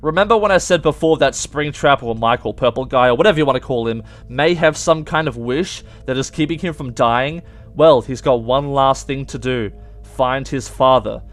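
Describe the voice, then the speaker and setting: Young male YouTube host, medium pitch, casual and emphatic explainer talking straight to viewers. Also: normal speed. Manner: emphatic, addresses viewers directly. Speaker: YouTube game theory host.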